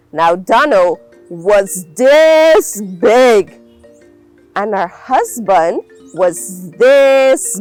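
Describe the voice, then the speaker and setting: Slow, high-voiced woman storyteller. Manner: dramatic, repeats words for emphasis. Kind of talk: animated children's folktale storytelling.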